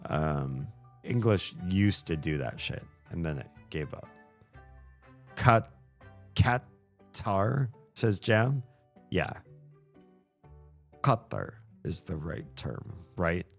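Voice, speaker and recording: A man's voice: sits low, big pitch swings, extremely slow, creaky, long pauses, soft background music. A man chatting casually on a live stream, reading chat and rambling as he searches for words.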